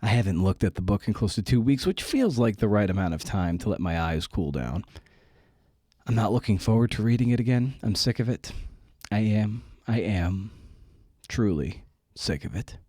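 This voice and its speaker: A man with a low, mellow voice hosts a podcast in a casual, candid style. His manner is wry and self-deprecating. The sound is dry, with very little room in it. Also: lively intonation, normal speed, breathy edge, no echo. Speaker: podcast host and writer.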